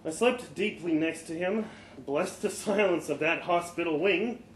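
A man giving an expressive live reading of a first-person short story, with dramatic pauses and the odd stumble. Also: fairly high pitch. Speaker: story reader. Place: live storytelling event in a pub room. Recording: echoey live venue, faint background noise.

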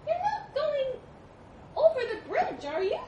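Woman as a storybook mouse, small anxious male, shrill squeak, swooping pitch, roomy noisy recording. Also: wildly swooping pitch, unhurried, muffled recording, crowd noise, heavily acted. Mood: worried. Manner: pleading, anxious. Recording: roomy, distant mic, noisy rumbling background.

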